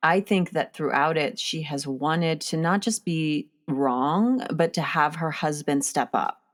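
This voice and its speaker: A woman's voice: deep voice, unhurried. A woman chatting casually about a film as a co-discussant, emphatic and opinionated, with casual swearing. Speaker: podcast co-discussant.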